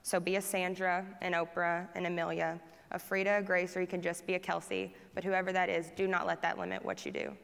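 Low, flat, gravelly young woman. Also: a little fast, few pauses, in a large hall, a little hiss or hum. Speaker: student panel speaker. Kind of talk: reading a prepared speech. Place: stage in a large hall.

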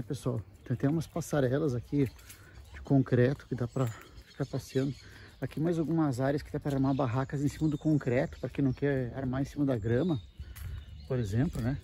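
Male vlogger, creaky relaxed voice, conversational tour-guide delivery, light outdoor noise. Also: medium pitch. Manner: explanatory, conversational with frequent check-in tags. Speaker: camping travel vlogger. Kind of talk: casual walking tour narration. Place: outdoors at a wooded campground. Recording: noisy outdoor handheld recording.

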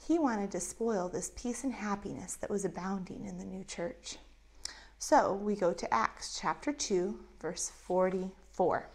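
A woman in her thirties with a lapel mic, telling a Bible story to children in an animated way with acted-out voices. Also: a little high. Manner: animated, acts out voices of characters. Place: indoors in front of a map backdrop.

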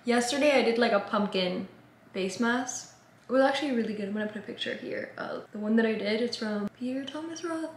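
A woman's voice: fairly high pitch, brisk, echoey room. A young woman in her twenties chatting casually to camera in a vlog, bubbly and full of "like", recorded in a small room at home. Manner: chatty, bubbly, heavy use of "like". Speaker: vlogger.

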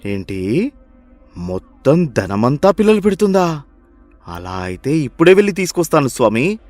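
Anxious, high-pitched greedy villager. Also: male voice, very animated, normal speed, darkish tone, no room sound, soft background music. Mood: greedy, eager. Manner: agitated, pleading, whiny. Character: greedy, gullible, anxious village moneylender man. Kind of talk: animated moral story voice acting.

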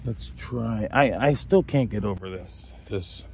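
A man's voice: fairly low pitch, measured pace, creaky, a little mellow, a little hiss or hum. A man chatting casually and rambling as he vlogs outdoors, trying out handheld radios with short test calls. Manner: rambling, talks to his dog. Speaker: vlogger testing handheld radios. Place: outdoors in a grassy field by woods.

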